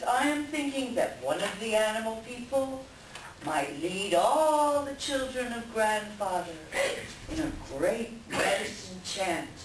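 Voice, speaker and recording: A woman playing a grave, commanding leader of the animal people in a readers theater folktale, speaking deliberately. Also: mid-range voice. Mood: solemn. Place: library room at a live reading. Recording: echoey room, distant mic, faint noise.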